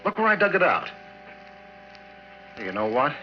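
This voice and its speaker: Excitable, swooping oil-field man. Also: on the high side, a little slow, a little hiss or hum. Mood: insistent, amazed. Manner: urgent, emphatic. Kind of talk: 1940s radio horror drama acting. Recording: dull old radio recording, faint music.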